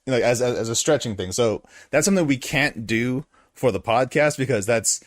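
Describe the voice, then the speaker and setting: A man's voice: medium pitch, animated, moderate pace, dry recording, studio quality. A man co-hosting a podcast, a streamer who stammers and teases his friends. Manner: stammering, teasing. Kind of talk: casual chat on a live stream.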